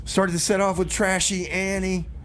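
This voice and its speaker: A man's voice: high voice, flat delivery, normal speed, a bit bright, a little hiss or hum. A man hosting a casual community radio music show, chatting in a rambling, conversational way with comic bits. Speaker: radio host. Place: radio studio.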